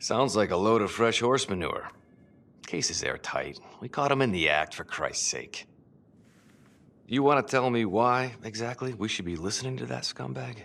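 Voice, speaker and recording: A blunt, cynical homicide detective in a video game drama. The voice is fairly low and full of creak, with lively swings in pitch as he scoffs. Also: moderate pace. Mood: scornful. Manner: blunt, scornful. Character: gruff, foul-mouthed, cynical male homicide detective. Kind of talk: video game cinematic acting.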